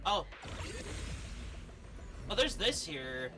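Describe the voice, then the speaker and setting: A woman streaming a game, giving expressive, casual commentary and reading dialogue aloud, sometimes swearing. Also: on the low side, extremely slow, noisy background. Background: faint video game music.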